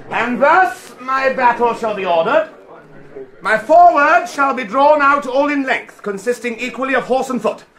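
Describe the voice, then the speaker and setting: Casting: defiant warrior king, very high ringing forceful voice, grand Shakespearean stage declamation. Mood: commanding. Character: ruthless warrior king rallying troops, scornful and defiant. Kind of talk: classical Shakespearean stage acting.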